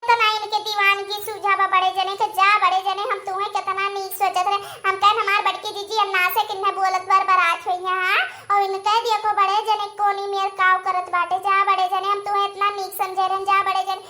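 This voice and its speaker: Shrill scandalised Awadhi tattletale, voiced by a woman. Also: flat delivery, brisk, bright, forceful tone. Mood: scandalised scolding. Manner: scolding, repetitive, gossipy. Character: scandalised, tattling young onlooker in a village cartoon. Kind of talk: amateur cartoon voice acting. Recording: echoey room with faint noise.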